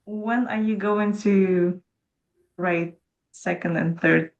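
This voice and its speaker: A woman's voice: fairly low pitch, steady tone, takes it slowly, highs cut off. A woman interviewing an author, asking short, friendly questions.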